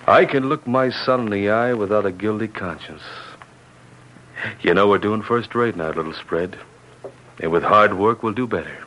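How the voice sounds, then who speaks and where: A man's voice: on the low side, a bit bright. An actor playing a sincere, plain-spoken rancher and father, a reformed outlaw, in a vintage radio western. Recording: muffled, slightly noisy vintage radio recording.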